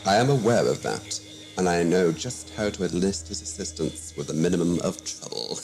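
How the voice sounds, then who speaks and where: A man playing a smug, condescending alien schemer in an audio drama, measured and theatrical. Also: sits low, expressive, darkish tone, faint music behind. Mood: calculating. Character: scheming, suave, condescending male renegade Time Lord villain.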